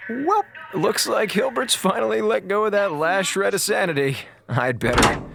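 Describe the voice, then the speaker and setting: An actor playing a wry, laid-back communications officer recording a chatty, sarcastic audio log in a space drama. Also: male voice, sits high, lively intonation, normal speed, creaky, fairly bright tone, soft background music, exaggerated voice. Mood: uneasy.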